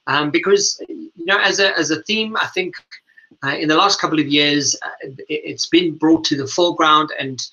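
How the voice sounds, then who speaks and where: A man hosting a radio interview, speaking in a halting, hesitant way over a dull, lo-fi recording. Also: fairly high pitch, pushed and bright. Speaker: radio show host and leadership coach.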